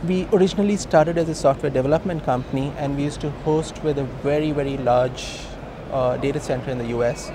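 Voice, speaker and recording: A man's voice: mid-range voice, fairly quick, few pauses. A man in his thirties with an Indian accent, speaking informally and emphatically as an entrepreneur being interviewed. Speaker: company co-founder being interviewed. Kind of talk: conversational business interview. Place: busy hosting industry event. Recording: noisy event location, traffic-like ambient noise.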